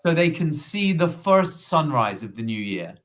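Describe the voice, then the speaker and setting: Slow, deliberate man with a lively pitch. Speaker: co-host, quiz presenter. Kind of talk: casual live-stream chat, reading quiz questions.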